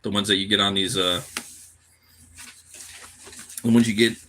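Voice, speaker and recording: Man, tired, low forceful voice, laid-back slangy livestream chat, muttering to himself. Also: steady tone, a little slow, slight background noise. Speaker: cartoonist live streamer. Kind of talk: casual livestream chat while drawing.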